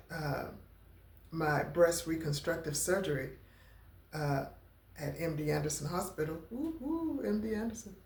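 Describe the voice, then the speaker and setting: Slow, halting woman vlogger. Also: sits low, echoey room. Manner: slow, halting, stuttering speech with many fillers; emotional, near tears at times. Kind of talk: personal talking-head vlog.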